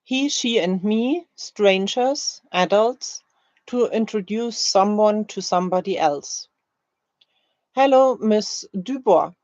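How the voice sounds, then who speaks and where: A woman language teacher reads short drill phrases slowly and deliberately, leaving careful space between them. Her voice sits at an average pitch. The recording is very dry and a little dull. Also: animated, a little mellow, dry recording. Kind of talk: slow, scripted language-learning drill, read aloud.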